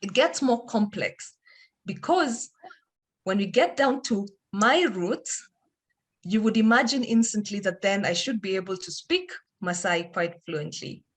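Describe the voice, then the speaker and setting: Female panel moderator from Kenya, chatty, mid-pitched, sums up speakers. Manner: conversational, recapping with tag questions.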